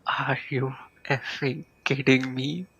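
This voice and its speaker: A man's voice: mid-range voice, little pitch movement, slow, vocal fry, bright, forceful tone, slightly rough, poor audio. A man giving casual, informal live commentary while streaming a game, with hesitant muttered asides. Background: game music.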